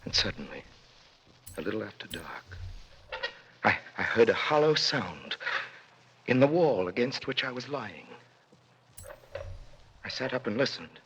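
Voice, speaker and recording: A man's voice: on the low side, sing-song, measured pace, long pauses, fairly bright tone. An actor playing an anguished prisoner who recounts his years in a dungeon, grave and intense, in classic radio drama style. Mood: grave, despairing. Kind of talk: classic radio drama acting, dramatic first-person narration. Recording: noisy old radio recording.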